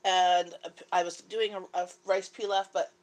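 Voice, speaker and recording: A woman's voice: on the low side, little pitch movement, moderate pace. A middle-aged Canadian woman chatting casually with viewers on a live stream, talkative and giggly. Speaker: live stream host, mother. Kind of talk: casual live chat with viewers while cooking.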